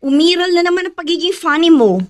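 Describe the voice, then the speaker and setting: Exasperated younger sister, very high voice. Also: female voice, expressive, fast. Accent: Filipino. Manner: exasperated, pleading, dismissive. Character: hard-up, skeptical younger sister, exasperated and outspoken. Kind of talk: Filipino radio drama acting.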